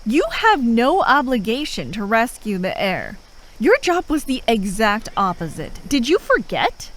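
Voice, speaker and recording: A woman playing an exasperated, sharp-tongued but reluctantly caring enemy operative in a fantasy audio roleplay, sardonic, speaking in short phrases with pauses. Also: fairly high pitch, huge pitch swings, heavily acted. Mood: angry, exasperated. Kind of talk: fantasy audio roleplay acting, addressed to a listener.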